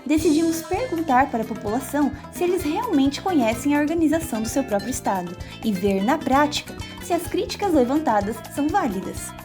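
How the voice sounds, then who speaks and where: A woman's voice: sits high, very animated, brisk, hardly any pauses. A woman narrating an explanatory documentary in a formal, scripted way. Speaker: narrator and street interviewer. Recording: voice-over with music behind.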